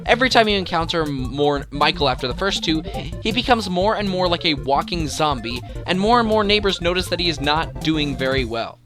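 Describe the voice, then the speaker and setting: A man's voice: on the high side, animated, fairly quick. A man hosting a scripted top-ten video with enthusiastic, emphatic commentary. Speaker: video creator and countdown host. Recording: music behind the voice. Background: music.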